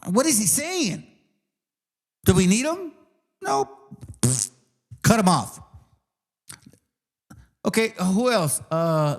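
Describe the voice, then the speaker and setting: A man on a foul-mouthed tirade, higher-pitched than most men. His pitch leaps around wildly as he repeats insults and jabs. His delivery swings from shouted outbursts to low mutters. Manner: aggressive, profane, repetitive, swings loud and soft. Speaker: ranting commentator. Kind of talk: profane rant.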